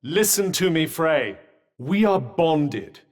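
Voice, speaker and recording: A man's voice: fairly high pitch, animated, slow. A man voicing a witty, sarcastic male magic bracelet bound to the hero, in game voice lines. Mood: teasing. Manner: dry, sarcastic quips.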